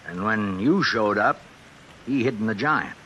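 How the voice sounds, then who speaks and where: A weary small-town American sheriff in a 1940s radio drama. His voice is low and bright-edged, folksy and dryly needling. Faint hiss and rain run through the old recording. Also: animated, highs cut off. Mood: dry reproach. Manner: folksy, dry, unhurried needling.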